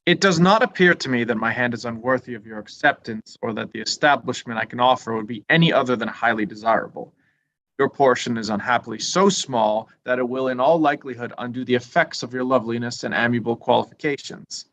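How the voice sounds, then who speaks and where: A man's voice: mid-range voice, a little fast, fairly bright tone, lightly acted. Man acting a pompous, long-winded young clergyman. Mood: complacent, undeterred. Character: pompous, self-important, obsequious young English clergyman. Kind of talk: staged reading of a period drama.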